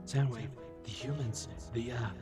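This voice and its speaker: A man's voice: medium pitch, fairly even intonation, a little slow, lightly acted. Man as jittery, wisecracking little robot scout. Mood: hesitant, earnest. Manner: hesitant, flippant, informal. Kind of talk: comic dub voice acting. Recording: music behind the voice.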